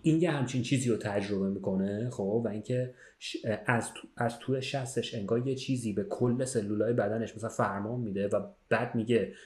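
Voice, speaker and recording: A man's voice: on the low side, few pauses, a little mellow. A man telling a story casually in conversation, checking in with the listener as he goes. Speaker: podcast speaker telling a story. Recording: roomy, echoing sound.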